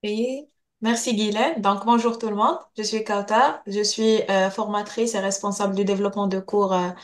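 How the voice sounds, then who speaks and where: A woman's voice: mid-range voice, steady tone, moderate pace. A woman who works as a trainer and course developer, introducing herself in an online meeting. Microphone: computer mic on video call.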